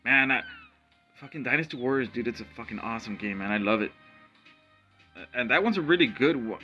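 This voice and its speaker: A man chatting while gaming, excitable and profane, dropping Spanish slang. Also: medium pitch, fairly quick, lo-fi sound, faint music behind. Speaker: gamer. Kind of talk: casual gaming chat.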